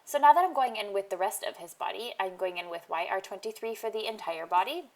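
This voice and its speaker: A woman's voice-over for a crafting tutorial, nasal and stuffy from a lingering cold. She talks in a relaxed, chatty way, walking through each step with the odd um. Her pitch sits in an ordinary middle range. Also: fast. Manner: chatty, explains each step, frequent ums. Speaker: card-making tutorial host.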